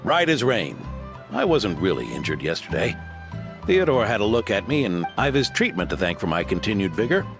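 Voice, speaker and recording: An actor playing an aging, modest, grandfatherly knight with a weathered voice and measured delivery in a video game. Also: fairly low pitch, very animated, over music. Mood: cheerful.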